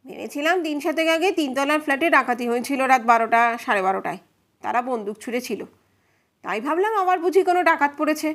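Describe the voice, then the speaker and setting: A woman's voice: sits high, expressive, fast, a bit bright. A woman voicing a cautious male neighbour testifying in a courtroom audio drama, subdued and hesitant, hurrying through explanations. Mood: hurried, explaining.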